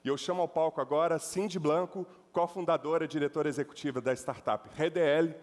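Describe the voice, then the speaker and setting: Male emcee, a bit higher voice, formal announcements, hall reverb. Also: steady tone, a little fast. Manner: formal, announcing names one by one. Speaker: event host. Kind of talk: stage announcement. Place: conference stage in a large hall.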